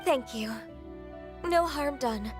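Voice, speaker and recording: An actress voicing a gentle, shy horned young woman who speaks softly and politely. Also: high-pitched, lively intonation, slow pace, over music. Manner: soft-spoken, hesitant, polite.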